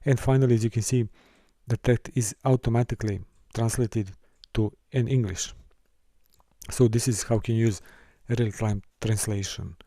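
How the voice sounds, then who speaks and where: A man from Serbia, teaching a course and walking through a software console, with careful, halting phrasing. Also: medium pitch, steady tone, dry recording, studio quality. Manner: careful, halting delivery with small restarts and non-native phrasing. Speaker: course instructor. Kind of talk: screen-recorded software tutorial.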